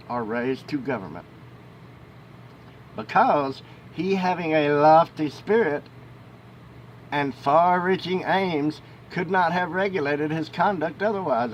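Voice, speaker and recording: Higher-voiced man reading aloud, noisy recording. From United States. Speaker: amateur audiobook reader. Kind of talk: reading a historical book aloud, with informal asides. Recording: noisy home recording with ambient rumble.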